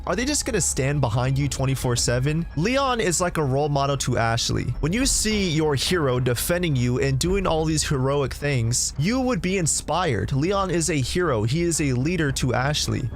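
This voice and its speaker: A man with a slightly high voice hosts an opinionated video game list. He talks straight to viewers in a conversational, emphatic way. Music plays behind him. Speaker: video creator and host. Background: background music.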